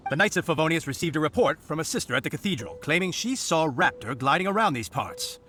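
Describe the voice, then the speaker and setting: A man's voice: fairly high pitch, lively intonation, quick pace, pushed and bright, a little hiss or hum. A man playing an officious, dutiful knight on guard duty in an anime-style video game, speaking in a formal, declamatory way. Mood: explanatory. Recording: music behind the voice.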